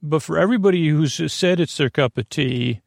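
A man hosting a bedtime podcast in a creaky voice, meandering and repetitive, halting and wandering off on tangents. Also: on the high side, no room sound, clean studio sound. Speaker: podcast host. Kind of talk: rambling bedtime podcast monologue.

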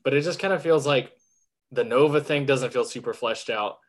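A man's voice: mid-range voice, moderate pace. A man with a mid-range voice gives his opinions on a film in a loose, easygoing way. He circles back on his own points and thinks aloud as he talks. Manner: rambling, lots of fillers and restarts, thinks aloud. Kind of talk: casual film review chat.

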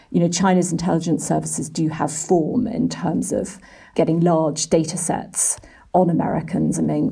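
Low, gravelly woman editor explaining. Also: mellow. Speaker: business and technology editor. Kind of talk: explanatory interview answers.

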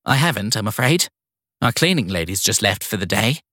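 A man's voice: fairly low pitch, sing-song, brisk, sharp tone, no room sound, clean studio sound, heavily acted. A man voicing a cheery, solicitous guesthouse landlady, courteous and apologetic, in an audiobook story.

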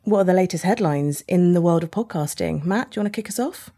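A woman's voice: lowish voice, expressive, fast, mellow. A woman hosting a conversational podcast, putting questions to her co-presenters. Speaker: podcast host.

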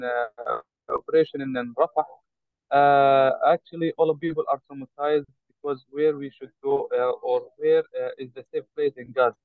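A man born in Saudi Arabia, speaking haltingly with many hesitations as a guest in an interview. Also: mid-range voice, dry recording. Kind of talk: interview over a call. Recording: tinny choppy call line.

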